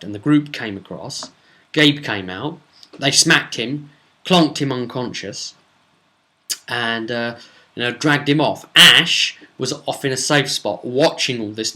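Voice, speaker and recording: Forceful-voiced man recapping a tabletop game. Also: medium pitch. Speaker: game master recapping a role-play session. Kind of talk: casual spoken storytelling recap.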